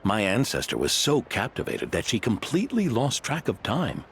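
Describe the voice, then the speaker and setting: A man's voice: medium pitch, wildly swooping pitch, normal speed, a bit bright. An actor playing a proud older male guest house owner in a video game, speaking in a theatrical, emphatic storytelling manner. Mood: earnest storytelling. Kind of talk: animated game dialogue, storytelling. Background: music.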